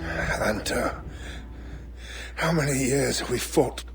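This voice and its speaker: An actor playing a proud, weary, dying male army commander in a game, grave and halting. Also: medium pitch, vocal fry, music in the background. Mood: bitter, fading. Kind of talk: dramatic game cutscene acting.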